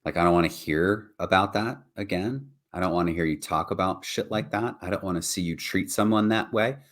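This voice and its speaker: A man with a very deep voice co-hosting a podcast, talking earnestly and reflectively, peppering his points with "right?" and "like". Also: a little fast, fairly bright tone. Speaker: podcast co-host. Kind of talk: casual earnest discussion.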